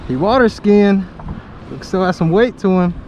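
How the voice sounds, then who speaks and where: A man on a kayak talking to himself in a low-key running commentary while he fishes, recorded on a mounted action camera out on open water. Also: expressive, moderate pace, dull audio. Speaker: fishing vlogger. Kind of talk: casual self-narration while fishing. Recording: loud wind noise on outdoor camera mic. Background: wind and water.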